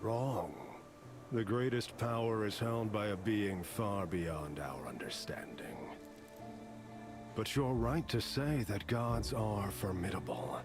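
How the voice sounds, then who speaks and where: An actor playing a sinister, power-hungry cult master who speaks in a slow, menacing whisper. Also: male voice, lowish voice. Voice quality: hushed whisper. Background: game music.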